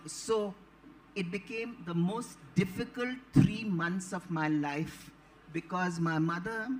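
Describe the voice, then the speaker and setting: A woman in her late seventies with an Indian accent, an author telling anecdotes on a panel in a deliberate, digressive, articulate way. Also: on the low side, poor audio. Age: late 70s. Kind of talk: anecdotal storytelling at a live panel.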